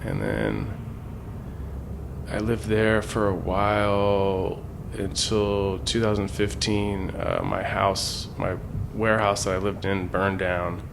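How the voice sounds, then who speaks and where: Gravelly, low-voiced man. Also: little pitch movement, slow pace, noisy background. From San Francisco Bay Area, US. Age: 40s. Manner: loose, slangy, rambling. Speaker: interview guest, graffiti artist. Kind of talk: casual reflective interview talk.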